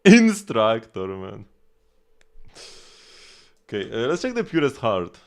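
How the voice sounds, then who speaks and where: A man casually commentating on a game livestream, thinking aloud haltingly and repeating words as he goes. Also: mid-range voice, wildly swooping pitch, gravelly. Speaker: live streamer.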